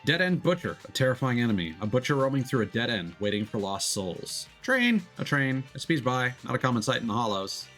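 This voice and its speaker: A man's voice: medium pitch, very animated, fairly bright tone. Man rambling through a game stream. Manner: rambling, halting with repeated filler words. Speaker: VTuber streamer. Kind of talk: casual let's play commentary, reading game text aloud. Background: faint game music.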